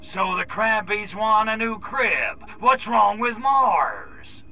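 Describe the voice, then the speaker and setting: An actor voicing a cocky grey alien invader with a brash, wisecracking, crude manner in a video game cutscene. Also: male voice, high voice, big pitch swings, takes it slowly, bright, forceful tone, full character voice. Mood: sarcastic. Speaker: protagonist.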